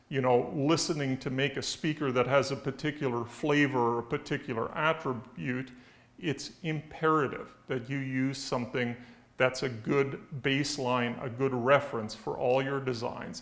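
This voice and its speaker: Middle-aged man explaining emphatically. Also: a little high. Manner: emphatic, conversational, uses rhetorical questions. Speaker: loudspeaker company presenter. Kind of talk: explanatory talk to camera. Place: indoor dark studio room.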